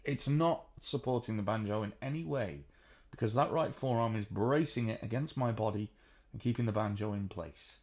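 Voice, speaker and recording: A man with a baritone voice, sitting on a sofa at home and casually explaining how to play the banjo, with plenty of ums and uhs. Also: darkish tone. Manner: chatty, unhurried, frequent ums and uhs. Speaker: banjo teacher. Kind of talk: relaxed spoken music tutorial.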